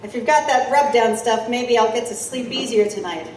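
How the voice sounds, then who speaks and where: Intrepid Victorian-era newswoman, acted by a woman, brisk and probing, for audio drama; roomy, distant sound. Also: on the high side, darkish tone, close to an everyday voice. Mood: friendly. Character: intrepid, inquisitive woman newspaper reporter in steampunk 1889. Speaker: lead actor and narrator. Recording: roomy, distant, faint background noise.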